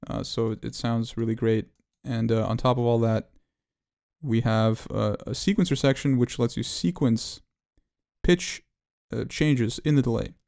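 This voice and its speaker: A man presenting an unscripted software tutorial as its developer, explaining technical detail in a halting, hesitant way. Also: mid-range voice, fairly even intonation, creaky.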